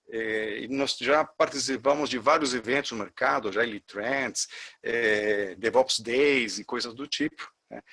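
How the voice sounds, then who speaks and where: Chilean man teaching with gravelly voice. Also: mid-range voice, sharp tone. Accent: Chilean Spanish. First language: Spanish. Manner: hesitant, many fillers and restarts. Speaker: course instructor. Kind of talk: lecture to a class.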